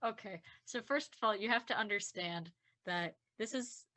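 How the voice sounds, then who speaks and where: A woman's voice: mid-range voice, moderate pace, bright, forceful tone. A young woman chatting casually and self-deprecatingly as a podcast writer in a group discussion. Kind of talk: casual post-show group chat.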